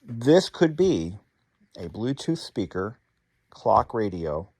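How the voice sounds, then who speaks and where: A man's voice: fairly low pitch, sing-song, takes it slowly, darkish tone, husky, dry recording. A man hosting a hobby repair channel, talking casually to viewers in a rambling, wry way with sarcastic asides.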